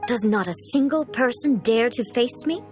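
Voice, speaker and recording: A woman as an imperious, high-voiced female Shogun. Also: animated, normal speed, a little mellow, faint music behind, lightly acted. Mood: challenging. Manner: formal, commanding, blunt. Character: imperious, stern, almighty female Shogun ruler. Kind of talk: scripted video game character acting.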